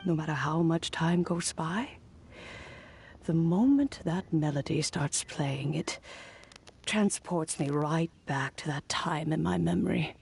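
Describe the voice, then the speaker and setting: Wistful old granny, low measured voice. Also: female voice, vocal fry, slight background noise, exaggerated voice. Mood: reflective, tender. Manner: measured, reflective, with soft sighs. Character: kindly, wistful old granny with a gentle dignity. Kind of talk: scripted video game dialogue.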